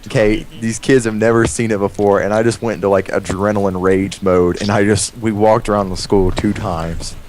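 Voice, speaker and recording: Deep-voiced young American man rambling through stories. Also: moderate pace, hardly any pauses. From United States. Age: young adult. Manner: rambling, animated retelling with acted-out quotes. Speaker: storyteller among friends playing a game. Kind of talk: casual profane storytelling over game voice chat. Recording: noisy game voice chat recording.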